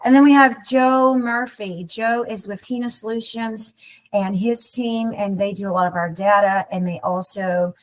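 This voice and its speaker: A woman's voice: mid-range voice, fairly even intonation, normal speed, poor audio. Woman hosting a video-call webinar. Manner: warm, informal, rambling with restarts. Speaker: nonprofit staff host of a community webinar. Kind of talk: hosting an online meeting, introducing speakers.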